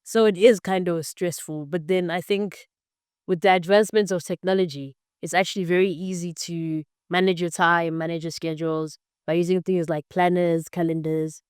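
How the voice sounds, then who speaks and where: A woman's voice: lowish voice, fairly even intonation, normal speed, a little mellow, clean tone, no room sound, clean studio sound. A young woman in her twenties from Zambia, answering interview questions in an earnest, explanatory way with frequent "um" and "basically". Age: 20s. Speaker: podcast guest, computer science student.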